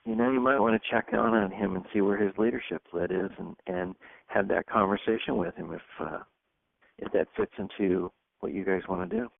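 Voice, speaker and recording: Deep-voiced man coaching over tinny phone line. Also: expressive, a little fast, gravelly, no echo. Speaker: facilitator and leadership coach. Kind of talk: hosting a group coaching conference call. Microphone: telephone.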